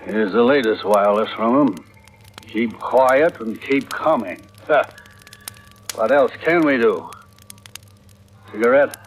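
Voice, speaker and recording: An actor playing a calm, fatherly ship's captain, firm and reassuring, in old radio drama style. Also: medium pitch, slow, long pauses, natural voice, rough recording. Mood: tense, grave. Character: steady, fatherly older ship's captain, calm under pressure. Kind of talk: 1940s-50s radio drama acting. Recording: vintage radio recording, faint noise.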